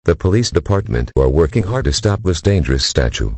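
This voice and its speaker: A man with an extremely low, husky voice reads scripted emergency alerts. His formal, synthetic-sounding delivery moves noticeably up and down in pitch. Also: very animated, brisk, warm tone, no room sound.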